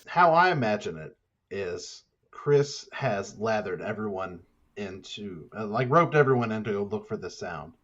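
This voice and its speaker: A man's voice: on the low side, lively intonation, measured pace, fairly bright tone. A man casually improvising narration as the director in a tabletop horror-comedy game. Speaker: player acting as director of the story. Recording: slightly dull, muffled audio.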